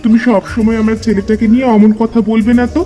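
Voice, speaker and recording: A man's voice: extremely high pitch, little pitch movement, quick pace, dark tone, dull audio, soft background music. A man voicing a protective, scolding village mother who defends her child in a cartoon. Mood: defensive. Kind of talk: Bengali cartoon dialogue.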